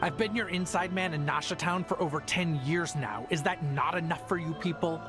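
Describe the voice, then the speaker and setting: A man's voice: a little high, normal speed, over music, lightly acted. An actor playing a desperate but defiant family man in a video game, speaking with earnest agitation. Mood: anguished, pleading.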